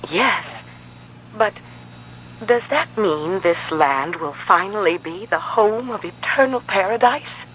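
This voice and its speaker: A slow, timid, devout cult follower. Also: female voice, mid-range voice, lively intonation, fairly bright tone, a little hiss or hum, poor audio. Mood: hopeful. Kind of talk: video game voice acting.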